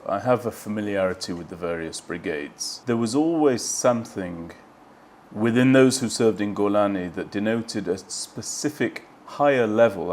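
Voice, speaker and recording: A man hosting a documentary, narrating in a measured, solemn way and then interviewing a guest. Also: on the low side, a little mellow, slight background noise. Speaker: host. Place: outdoors at a border lookout.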